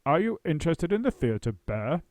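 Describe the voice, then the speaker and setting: A man voicing a pompous, gruff older male stage actor who booms dramatically. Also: animated, moderate pace, a little mellow, no room sound, studio quality, close to an everyday voice. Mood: booming.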